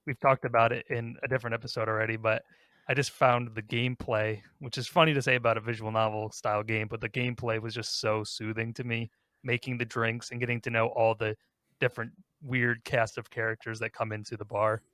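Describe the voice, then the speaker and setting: A man's voice: medium pitch, little pitch movement, a little fast, a bit bright, dry recording. A man hosting a podcast, talking casually as he leads a countdown discussion. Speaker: podcast host.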